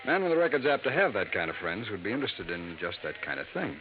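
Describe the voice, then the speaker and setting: Casting: pragmatic American police lieutenant, deepish and unhurried, weary, old radio sound with heavy noise. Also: male voice, sing-song. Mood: weary, matter-of-fact. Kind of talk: 1950s radio drama acting. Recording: muffled, noisy vintage radio recording.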